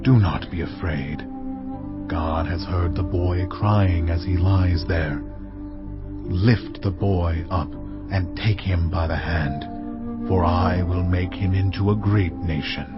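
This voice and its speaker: An actor playing a grave, comforting angel in a dramatized audio Bible, with stately delivery. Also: male voice, low-pitched. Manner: slow, stately. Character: male angel of God, grave, comforting messenger. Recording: dull audio under loud music.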